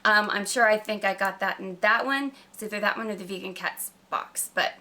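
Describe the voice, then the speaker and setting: A woman with a slightly croaky voice from a cold, chatting casually and emphatically to camera as a gushing beauty vlogger. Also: mid-range voice, a little fast, bright, forceful tone. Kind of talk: casual product review chat to camera.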